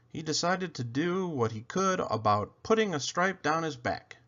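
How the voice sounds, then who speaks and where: A man's voice: mid-range voice, fairly bright tone, muffled recording. A man reading a children's story aloud expressively, leaning dramatically on key words. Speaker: storybook reader.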